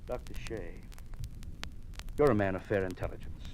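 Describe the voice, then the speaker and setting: A man's voice: fairly low pitch, normal speed, darkish tone, slight background noise, natural voice, rough recording. A man acting as a cold, doctrinaire communist commissar in an old radio drama, pompous, clipped and threatening. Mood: quietly menacing.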